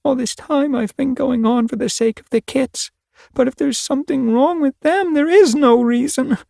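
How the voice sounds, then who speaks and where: A man voicing a weak, grieving young female cat in an audiobook, speaking softly and breathily. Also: very high voice, moderate pace, vocal fry, no room sound, clean studio sound, pitched above the performer's own voice. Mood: despairing. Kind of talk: audiobook character voice.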